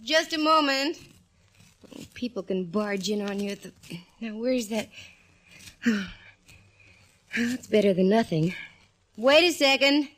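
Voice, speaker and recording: An actress playing a sassy, wisecracking young woman private eye in a 1940s radio drama, teasing and quick with comebacks. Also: fairly high pitch, animated, fairly bright tone. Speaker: private eye lead and narrator. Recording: old radio broadcast with faint hiss.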